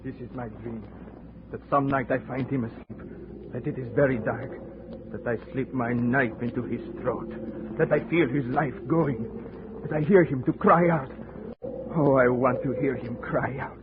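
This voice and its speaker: Noisy, muffled old radio sound carries an actor as a man obsessed with vengeance. He speaks at a middle pitch in brooding, incantatory phrases, full of intense hatred. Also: moderate pace, close to an everyday voice. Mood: hateful, brooding. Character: vengeful man, obsessed with killing his enemy. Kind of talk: 1950s radio drama acting.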